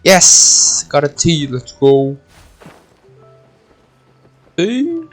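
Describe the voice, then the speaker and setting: Slow-paced gamer thinking aloud. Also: medium pitch, big pitch swings, soft background music. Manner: sweary, mutters to self. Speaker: video game streamer. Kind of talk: casual gameplay commentary, thinking aloud.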